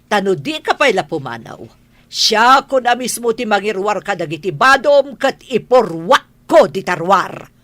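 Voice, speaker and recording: A woman plays a haughty madam of the house in a radio drama. Her voice swings widely in pitch as she scolds and gives imperious orders, recorded dry and close. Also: big pitch swings, measured pace, fairly bright tone, dry recording. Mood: imperious, angry. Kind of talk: Ilocano radio drama acting.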